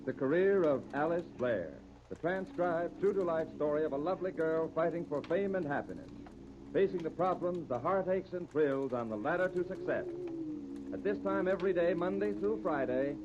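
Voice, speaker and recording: A man's voice: on the high side, moderate pace. Formal 1930s radio announcer man, noisy recording. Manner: formal, dramatic announcer delivery. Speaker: radio serial announcer and narrator. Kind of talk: 1930s radio scripted narration. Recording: noisy, dull 1939 radio disc recording.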